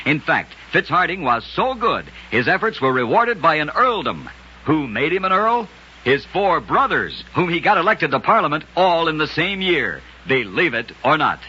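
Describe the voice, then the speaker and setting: A man's voice: medium pitch, pushed and bright, lo-fi sound, slight background noise. A man hosting an old-time radio show, narrating scripted oddities in a dramatic, emphatic way on a vintage recording. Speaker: radio storyteller and host.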